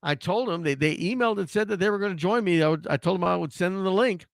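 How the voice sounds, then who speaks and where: A hoarse man hosting a sports talk show, ranting in a casual, animated way with frequent restarts. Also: high voice, fast talker, no room sound, clean studio sound.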